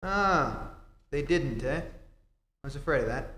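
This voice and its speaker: A man's voice: mid-range voice, lively intonation, takes it slowly, sharp tone. A man playing an earnest, decisive male reporter in an old-time radio serial, urgent and emphatic, on a theatre stage. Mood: astonished. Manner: urgent, emphatic, takes charge. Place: theatre stage in a live performance. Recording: echoey hall, hum and clear noise.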